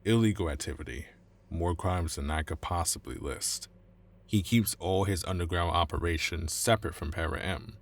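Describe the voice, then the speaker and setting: A man acts out a self-preserving corporate lawyer in a cyberpunk audio drama. His voice sits low and is husky. He explains things anxiously and pleads to be taken seriously. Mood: guarded, explanatory. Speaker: voice actor. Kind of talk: cinematic cyberpunk audio roleplay acting.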